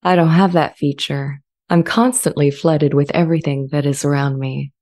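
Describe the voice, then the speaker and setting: A woman playing a gentle, thoughtful sentient AI in a dramatized reading, with a calm, measured and reflective delivery. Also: low voice. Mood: serene, thoughtful. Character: sentient female-voiced AI, gentle, serene and thoughtful. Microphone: large studio condenser mic up close.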